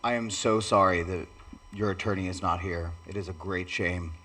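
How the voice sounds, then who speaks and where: Deep-voiced man city councilor, formal. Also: steady tone, normal speed, bright, forceful tone. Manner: formal, apologetic. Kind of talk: council meeting remarks. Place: council chamber.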